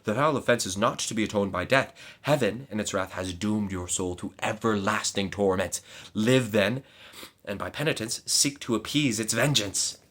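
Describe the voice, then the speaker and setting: A man voicing a scheming knight in a gothic tale, speaking in stern, measured declamations. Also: fairly low pitch, fairly bright tone. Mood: urgent. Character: scheming male knight, smooth and commanding. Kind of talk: dramatic gothic reading.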